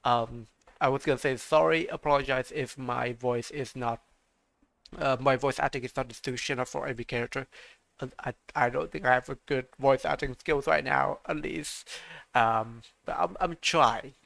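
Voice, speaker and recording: A man streaming a game, chatting in halting casual commentary full of restarts. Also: medium pitch, creaky, a bit bright, no room sound.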